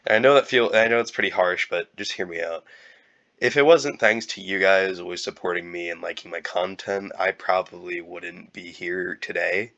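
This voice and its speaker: A young man with a cold, talking in a halting, casual way with many fillers and restarts. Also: low-pitched, fairly bright tone, lo-fi sound. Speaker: YouTuber. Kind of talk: casual year-end vlog.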